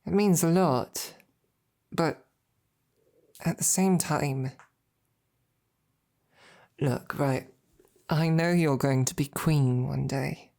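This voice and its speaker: A devoted female royal knight, acted by a woman in an intimate audio roleplay. The voice is low and soft-edged, moving slowly and haltingly. The delivery is tender and earnest, addressed closely to a beloved princess. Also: creaky, exaggerated voice. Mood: earnest, hesitant. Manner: hesitant, halting, softly earnest. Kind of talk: intimate scripted roleplay monologue to the listener.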